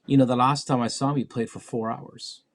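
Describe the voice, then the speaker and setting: A man from Mexico City who moved to Canada as a teenager, speaking as a musician being interviewed, reflective and halting as he thinks. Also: medium pitch, steady tone. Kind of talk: casual conversational interview.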